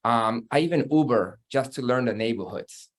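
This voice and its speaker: A man's voice: medium pitch, moderate pace. A man from Peru, an estate agent on a webinar panel, talking in upbeat bursts and laughing easily. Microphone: video call.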